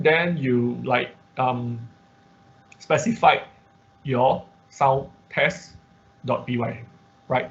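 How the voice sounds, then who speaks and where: Man teaching online, dull lo-fi audio. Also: mid-range voice. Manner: step-by-step explaining with frequent check words like okay and right. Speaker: instructor of an online robotics class. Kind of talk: informal screen-share tutorial.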